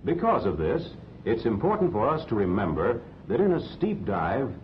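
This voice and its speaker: An American man reading scripted instructional narration for a 1940s training film in a measured, didactic way. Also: huge pitch swings. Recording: dull 1940s film soundtrack, rumbling noise.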